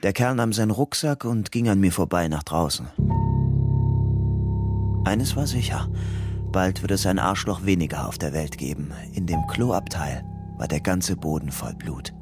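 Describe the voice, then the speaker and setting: A man's voice: sits low, animated, normal speed, over music. An actor playing a wary, troubled young man on the run in a crime radio drama, brooding and sardonic as he tells his story. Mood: brooding, narrating. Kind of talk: German crime radio drama, narration and dialogue.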